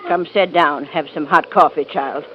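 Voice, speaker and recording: A woman's voice: low-pitched, steady tone, normal speed, over music, close to an everyday voice. An actress playing a worried, stern, homely ranch mother in a 1950s radio western, with rustic country speech. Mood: concerned, homely. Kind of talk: 1950s radio drama acting. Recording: dull, hissy 1950s radio recording.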